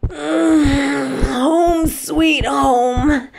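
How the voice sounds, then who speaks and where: A woman playing a casual, affectionate, teasing supervillain who gets flustered, speaking haltingly and expressively in an audio drama. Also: sits high, steady tone, creaky, noisy background, exaggerated voice. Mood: tired, puzzled. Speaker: voice actress in an audio roleplay. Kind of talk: intimate scripted audio drama addressed to a listener.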